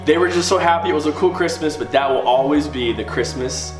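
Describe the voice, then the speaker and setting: A man's voice: on the high side, a little fast, over music. An American man, a pastor telling a personal story in a theatrical, emphatic, comedic way with a giggly edge.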